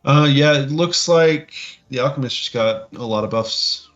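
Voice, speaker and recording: A man co-hosting a podcast, casually discussing tabletop game rules and haltingly thinking aloud as he reads. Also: medium pitch, fairly bright tone. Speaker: podcast co-host. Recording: dull lo-fi audio, music behind.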